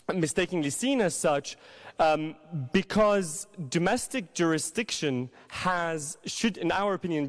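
A man in his thirties from Syria, a legal counsel answering on a conference panel with a handheld mic, hesitant with many restarts and repeated words. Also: on the high side. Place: large conference hall. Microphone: handheld microphone.

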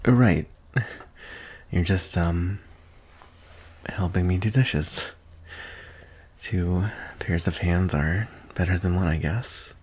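A young man playing a shy, adoring best friend in an intimate boyfriend ASMR roleplay, speaking softly and hesitantly. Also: low voice, takes it slowly, pauses often, heavily acted. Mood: flustered, nervous. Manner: hesitant, soft-spoken, breathy sighs and nervous chuckles. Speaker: audio roleplay performer.

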